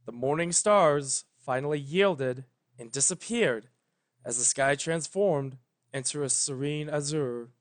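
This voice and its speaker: A man with a fairly high voice narrates a piece of fan fiction. He reads from a script in a dramatic storytelling style. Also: measured pace.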